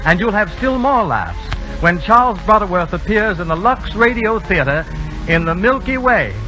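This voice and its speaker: A man's voice: sits high, very animated, normal speed, dull audio, music in the background. A man hosting a 1930s radio theater broadcast in a scripted announcer's delivery.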